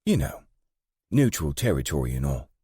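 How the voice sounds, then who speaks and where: A man voicing a tough, foul-mouthed gang matriarch and grandmother with a British accent, blunt and sharp. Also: low-pitched, animated, normal speed, no room sound, clean studio sound, pitched above the performer's own voice. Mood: blunt, scornful.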